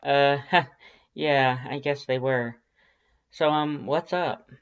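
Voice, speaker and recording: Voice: medium pitch, steady tone, slow, clear, resonant tone, muffled recording. A narrator acting an awkward, casual, sardonic homebody. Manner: hesitant, casual, self-deprecating. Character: awkward, sardonic, sleepy homebody telling their own day.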